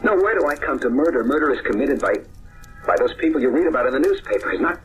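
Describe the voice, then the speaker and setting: A man's voice: medium pitch, animated, quick pace, fairly bright tone, a little hiss or hum, close to an everyday voice, low-quality recording. An actor playing an anxious, apologetic man in an old-time radio mystery, hesitant and stammering. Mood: agitated, defensive.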